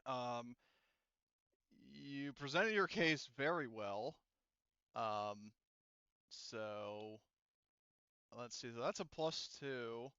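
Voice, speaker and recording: Clear-voiced man running a tabletop game, haltingly. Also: mid-range voice, expressive, sharp tone, dry recording, dull audio. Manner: halting, thinking aloud. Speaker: game master. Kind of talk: casual tabletop game refereeing.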